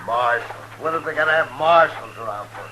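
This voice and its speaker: A man's voice: mid-range voice, brisk, natural voice. An actor playing a mean, drunken gambler and gunman, sullen and threatening, in a 1950s radio western. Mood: sullen menace. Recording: noisy vintage 1950s radio recording.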